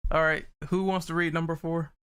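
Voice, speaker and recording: A man's voice: a little high, level tone, a little fast, fairly bright tone, smooth. A man casually moderating a podcast discussion as its host.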